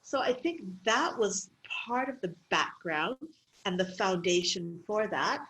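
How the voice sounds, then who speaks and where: A middle-aged woman from Canada, a medical clown being interviewed, telling stories in a lively way with laughs and fillers. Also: mid-range voice, unhurried, low-quality recording. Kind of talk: interview conversation.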